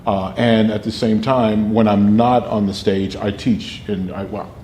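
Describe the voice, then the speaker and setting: Booming older man telling stories. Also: a little slow. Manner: animated storyteller, laughs often. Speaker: actor and drama teacher. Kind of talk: interview storytelling. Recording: roomy with faint noise.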